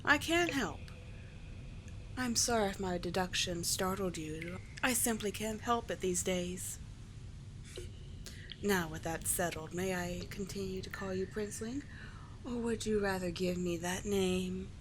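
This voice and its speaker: A woman voicing a calm, teasing sea witch with soothing, formal endearments in a scripted ASMR roleplay. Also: medium pitch, a little slow, noisy background, lightly acted. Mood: courtly, reassuring. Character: calm, courtly, teasing sea witch, gentle yet slightly monstrous.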